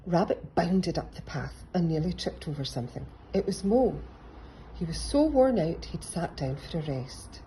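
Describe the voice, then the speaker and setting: A woman's voice: fairly low pitch, animated, normal speed, dark tone, a little hiss or hum, poor audio. A woman narrating a children's picture book as a storybook reader.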